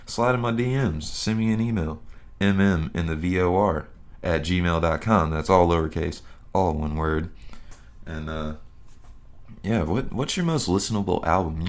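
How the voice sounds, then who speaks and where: Young male podcast host, deep voice, loose rambling delivery, casual swearing. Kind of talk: casual solo podcast chat.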